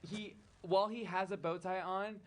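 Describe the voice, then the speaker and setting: A man narrating a tabletop fantasy game as game master, halting as he improvises and drifting into casual asides. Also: sits high, fairly even intonation.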